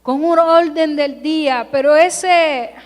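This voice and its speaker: A woman who grew up in California delivers a Sunday sermon from the pulpit. Her voice sits high, and she takes her time, stacking emphatic parallel phrases one after another. The sound carries a long reverb from the church sanctuary. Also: slow. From California, United States. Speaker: preacher.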